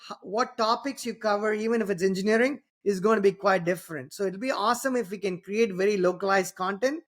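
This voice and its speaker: A man's voice: high voice, quick pace, fairly bright tone. Rambling man with an Indian accent. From Tamil Nadu, southern India. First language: Tamil. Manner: rambling, many restarts and "you know", "right?" tags. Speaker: podcast host. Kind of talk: casual interview conversation.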